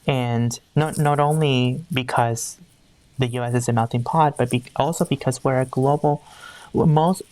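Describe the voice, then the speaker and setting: A woman's voice: very deep voice, steady tone, measured pace, a little mellow, dry recording. A woman from Peru, an HR executive speaking earnestly as an interview guest, often restarting her sentences. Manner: earnest, searching for words with restarts. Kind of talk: conversational interview.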